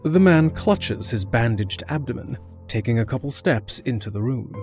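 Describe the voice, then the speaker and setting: A man reads scripted narration for a fantasy audio drama. His voice sits at an average pitch. Music runs quietly beneath the storytelling. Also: expressive, moderate pace. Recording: music under the voice.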